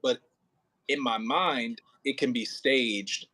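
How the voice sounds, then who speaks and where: Male drama director, bright clear-cut tone, informal rehearsal coaching with dramatic demonstrations. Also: mid-range voice, slow pace. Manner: expressive, demonstrates lines dramatically. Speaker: director of an audio play. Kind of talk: coaching an actor in rehearsal, casual. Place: indoors near a window, on a remote call.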